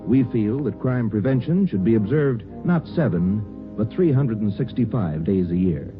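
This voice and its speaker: A man's voice: fairly low pitch, animated, moderate pace, music in the background. A man reading scripted sponsor copy as a 1950s radio announcer, polished and emphatic. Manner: polished, emphatic salesmanlike delivery. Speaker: commercial announcer. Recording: very muffled old radio recording.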